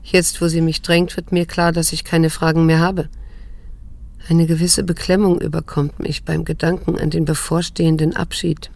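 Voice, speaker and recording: An actress playing a troubled, restrained adult daughter in a radio drama, speaking in a controlled, grave and insistent way. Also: female voice, low voice, level tone, normal speed, gravelly, darkish tone. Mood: uneasy, introspective. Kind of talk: radio drama acting with introspective narration.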